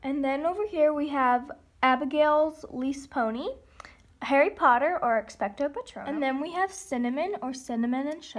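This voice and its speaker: A woman giving a casual guided tour of her model horse barn, listing each horse in a steady run of "and then". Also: high-pitched. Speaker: model horse hobby vlogger. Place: indoors in a home room beside a toy horse barn.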